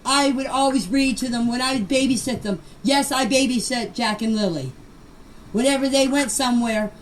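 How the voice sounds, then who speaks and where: An older woman giving an unscripted personal account in voice messages, speaking emphatically and defensively and repeating phrases to press her point. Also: sits high. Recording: noisy amateur voice-message clips.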